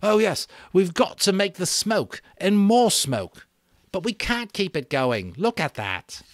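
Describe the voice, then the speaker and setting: A man's voice: high voice, sing-song, slow pace, dry recording, clean studio sound, pitched above the performer's own voice, exaggerated voice. A man voicing an earnest, frustrated schoolboy leader in a dramatic audiobook reading, urgent and emphatic. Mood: pleading, urgent.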